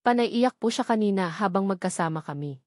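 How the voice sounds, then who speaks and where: A woman's voice: mid-range voice, lively intonation, brisk, a little mellow, no room sound, clean studio sound, lightly acted. A woman voicing a distressed young female secretary who pleads and explains earnestly, as in a dramatised novel reading. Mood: pleading, indignant.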